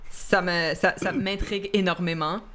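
Une femme qui coanime une discussion décontractée en direct, qui rit souvent et mélange des mots anglais à son français. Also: female voice, fairly low pitch, steady tone, moderate pace, fairly bright tone, a little hiss or hum. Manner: laughs often, mixes English words into French. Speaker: co-host. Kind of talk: casual chat on a live stream.

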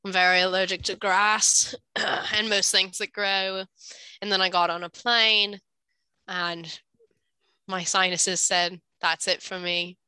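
A woman's voice: medium pitch, little pitch movement, slow, bright, forceful tone, smooth, dry recording, low-quality recording. A young adult woman with a slightly congested, nasal voice, chatting casually and jokily as a podcast co-host in a self-deprecating way. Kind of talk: casual banter between siblings.

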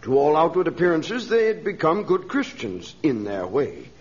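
A man's voice: on the high side, big pitch swings, moderate pace, husky. A man playing an earnest, anxious missionary in a radio adventure serial, well-spoken and urgent. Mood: worried. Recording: dull, noisy old radio recording.

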